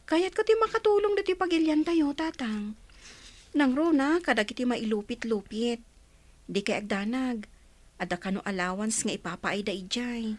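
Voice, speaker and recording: A woman's voice: fairly high pitch, lively intonation, normal speed. A young woman playing a determined, dutiful daughter in an Ilocano radio drama. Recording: music under the voice.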